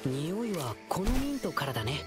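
A woman's voice: sits low, big pitch swings, normal speed, music in the background. A woman playing a bold, protective young boy hero in a video game, earnest and boyish. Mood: cheerful, curious. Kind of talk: Japanese video game voice acting.

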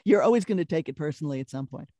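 A middle-aged woman with a Brooklyn accent and a deeper voice, telling lively anecdotes in a casual interview and laughing often. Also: rapid-fire, no echo, clean studio sound. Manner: animated storyteller, laughs often. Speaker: voice actress, guest.

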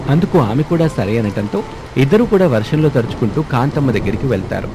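A man's voice: medium pitch, animated, a little fast, noisy background. A man narrating a scripted story as its storyteller.

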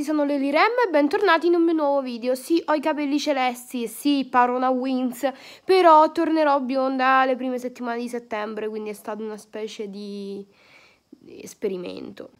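Sarcastic young woman ranting. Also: high-pitched. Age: young adult. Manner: sarcastic, exasperated, crude slang, lots of fillers. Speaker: vlogger. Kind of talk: casual comedic rant to camera. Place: indoors, bedroom, lying on bed. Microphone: phone camera at arm's length.

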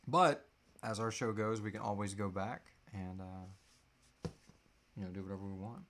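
A man's voice: fairly low pitch, fairly even intonation, unhurried, a bit bright. A man in his thirties chatting casually and jokingly as co-host of a live Q&A. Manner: jokey, playful. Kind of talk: casual chat. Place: small room indoors, on a couch.

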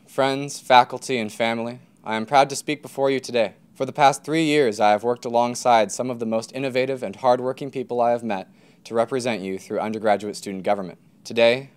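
A man's voice: medium pitch, clean tone. A man in his twenties with an American accent delivers a prepared, formal speech as a student leader. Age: 20s. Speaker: student government president giving a commencement speech. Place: indoor stage at a podium.

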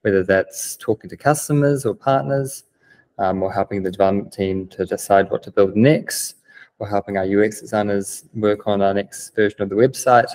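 Young kiwi guy on a video call, lowish voice, lots of ums, keen to explain stuff. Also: moderate pace, darkish tone. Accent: New Zealand. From New Zealand. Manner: eager, explanatory, many "um" fillers. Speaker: guest, business developer. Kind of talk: remote video interview. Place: plain-walled room indoors.

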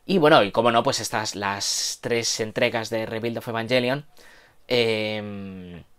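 A man's voice: on the low side, a little slow, a bit bright, clean tone. A man in his thirties with a Castilian accent from Spain, co-hosting a casual news chat in a hesitant, slangy, chatty way. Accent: Castilian Spanish from Spain. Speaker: co-host of a manga and anime news show. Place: home room with bookshelves. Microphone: lapel mic.

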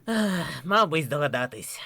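A woman voicing an excitable, boastful male skeleton with a grandiose, theatrical manner in an animated cartoon dub. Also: low voice, normal speed, gravelly, husky, heavily acted. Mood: exasperated. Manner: grandiose, theatrical self-praise. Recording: music under the voice. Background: loud music.

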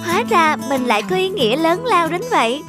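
A woman voicing a cheerful, optimistic older-sister peach tree in a cartoon, speaking in a gentle, soothing manner. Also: very high-pitched, very animated, fairly quick, music in the background. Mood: delighted. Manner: soothing, wondering. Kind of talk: animated cartoon dialogue.

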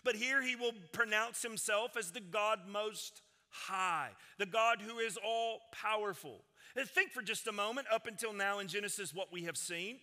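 A man in his forties preaches from a large church stage on a headset mic, his voice high-pitched and bright. He swings from loud, ringing declarations to quiet, intense stretches. The sanctuary's long reverb trails every phrase. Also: moderate pace, hardly any pauses. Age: 40s. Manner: preaching with wide gestures, swinging from loud proclamation to hushed intensity. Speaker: senior pastor. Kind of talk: sermon.

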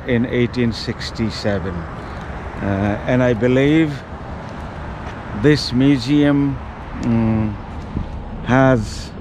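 A man in his fifties talks slowly and haltingly, full of ums and uhs, as he walks city streets narrating a travel vlog. His voice is picked up by a handheld action camera at arm's length, with clear street and traffic noise all around him. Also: mid-range voice. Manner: slow, hesitant, many ums and uhs. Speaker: travel vlogger. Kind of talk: walking-tour vlog narration. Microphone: action camera held at arm's length.

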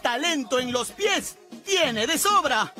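A Peruvian woman reads a scripted gossip voice-over with a sarcastic, teasing edge. Her voice sits high, and loud dance music plays under every line. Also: animated, slow. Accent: Peruvian Spanish. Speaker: gossip show narrator. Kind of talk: mocking scripted voice-over narration. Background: loud reggaeton music.

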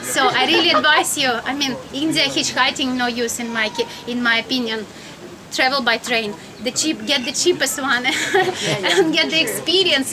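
A woman in her thirties from Russia with a Russian accent, giving a chatty, informal travel lecture outdoors and often questioning her listeners. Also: sits high. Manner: chatty, laughs often, asks the audience questions. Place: outdoors, sunny courtyard among high-rise buildings. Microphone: camera in front, at a few meters. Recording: outdoor talk with audience chatter behind.

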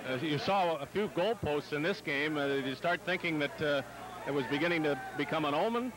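A middle-aged man doing live post-game interviews as a sports broadcaster, pausing often, on a handheld mic in a locker room. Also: on the high side, noisy background. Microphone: handheld microphone.

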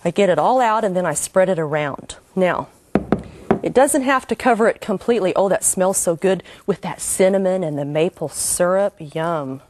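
A woman's voice: fairly low pitch, moderate pace, darkish tone, slight background noise. A middle-aged woman hosting a cooking show, giving homey, enthusiastic step-by-step instructions while she cooks. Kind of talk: cooking demonstration.

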